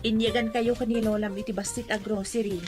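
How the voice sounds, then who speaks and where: An actress playing a calm, caring mother in a radio drama, speaking soothingly. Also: on the high side, brisk, music in the background, close to an everyday voice.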